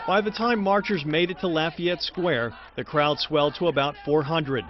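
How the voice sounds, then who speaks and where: A man's voice: on the high side, moderate pace. A man delivering a television news report as a field reporter. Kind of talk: live and packaged news report. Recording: dull audio, street crowd noise behind.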